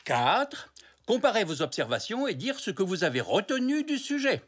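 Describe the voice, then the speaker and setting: A man's voice: high voice, very animated, normal speed, fairly bright tone, slightly rough, heavily acted. A man voicing a kindly, encouraging zebra grandfather in a children's cartoon, with an indulgent manner. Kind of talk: children's cartoon dub acting.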